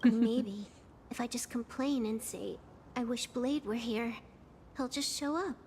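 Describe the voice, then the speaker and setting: An actress playing a wistful, teasing young woman in a video game, with a soft, wry delivery. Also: fairly high pitch, unhurried, slight background noise.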